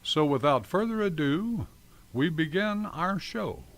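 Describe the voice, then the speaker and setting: A man hosting and narrating a scripted radio programme in a formal, deliberate, theatrical announcer style. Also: a little high, big pitch swings. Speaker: host and narrator. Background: music behind the voice.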